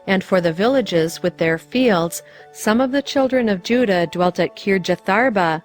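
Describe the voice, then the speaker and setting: A woman reading scripture as scripted narration in a measured, formal manner. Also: medium pitch, animated. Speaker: Bible reader. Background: music.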